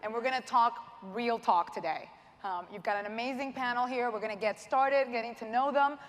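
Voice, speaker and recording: A woman moderating a panel discussion with an energetic, lively manner. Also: on the high side, a little fast. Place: large hall stage. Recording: reverberant hall.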